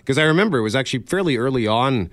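A man's voice: medium pitch, animated, very fast, a bit bright, no room sound, studio quality. A man co-hosting a morning radio show in casual chat, rambling and correcting himself, chuckling now and then. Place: radio studio. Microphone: studio microphone.

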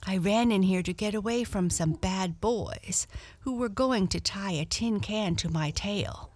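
A woman's voice: medium pitch, unhurried, darkish tone, no room sound, voice pushed up, lightly acted. A woman voicing a small, timid lost female cat in a storybook, polite, meek and curious. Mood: pleading.

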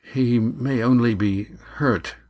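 A man voicing a wounded, stubborn sailor in a storybook, speaking softly and tersely with stoic restraint. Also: mid-range voice, takes it slowly, no echo. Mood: stoic, urging. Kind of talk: audiobook character acting.

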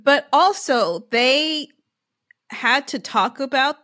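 A woman's voice: high voice, lively intonation, slow pace, sharp tone. A woman co-hosting a podcast, talking in a casual, conversational way. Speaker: podcast co-host. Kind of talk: casual chat.

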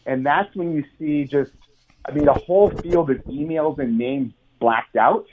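A man's voice: a little high, a little slow, noisy background. An American man, a journalist guest, explaining at length in an earnest way, with many ums and restarts, speaking over the phone on talk radio. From United States. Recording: tinny phone line.

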